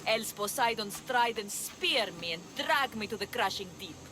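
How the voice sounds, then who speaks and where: A woman's voice: on the high side, animated, moderate pace, background noise, natural voice. A woman playing a scheming, boastful seafaring treasure hunter in a video game, theatrical and sly. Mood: sly, eager. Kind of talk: video game cutscene acting.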